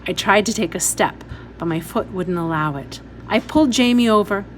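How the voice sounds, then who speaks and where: A woman's voice: mid-range voice, faint music behind. A woman reading a story aloud expressively, as a teacher would for pupils. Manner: instructive, pausing to point out details.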